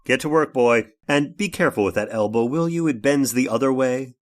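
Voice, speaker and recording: A man's voice: medium pitch, fairly quick, lightly acted. A man playing a fussy, evasive, hesitant workshop owner recounting a crime in an old-time radio horror drama. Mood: amused, gruff.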